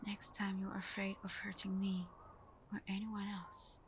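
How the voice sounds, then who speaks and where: A woman playing a tender, devoted vampire girlfriend in an intimate ASMR roleplay, speaking softly and breathily, often in a whisper, gentle and reassuring. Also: medium pitch, level tone, a little slow, a little hiss or hum, lightly acted. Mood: reassuring, loving.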